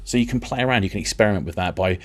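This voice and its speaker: A man explaining music software as a tutor, in an informal, rambling, conversational way. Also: on the low side, rapid-fire. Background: synth and vocoder music.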